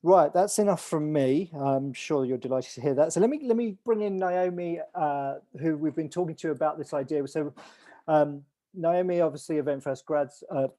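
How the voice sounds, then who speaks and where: A man hosting a video call, his voice sitting a little high but soft and mellow in tone. He talks in a loose, rambling way, restarting sentences and leaning on fillers as he briefs everyone. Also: moderate pace. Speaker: event organizer hosting an online call.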